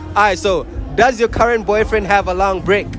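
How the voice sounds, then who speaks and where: A young man with a fairly high voice runs a playful vox-pop at night, joking and laughing between questions. He holds a phone up as a mic. Loud music and a chattering crowd fill the lounge around him. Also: moderate pace. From Portugal. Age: young adult. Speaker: street interviewer. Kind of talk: casual vox-pop interview. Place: lounge bar at night, indoors. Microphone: handheld phone mic.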